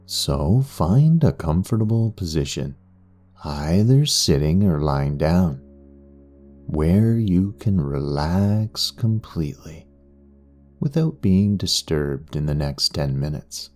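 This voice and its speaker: Male meditation guide: deep, extremely slow and soothing, with long pauses between phrases. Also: lively intonation, darkish tone. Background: background music.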